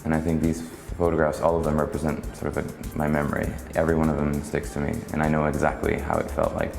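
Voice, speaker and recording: Very deep, gravelly-voiced man musing softly. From Hong Kong. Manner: soft-spoken, musing, halting. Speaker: photographer. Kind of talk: reflective documentary interview. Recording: roomy echo with long reverb and faint music.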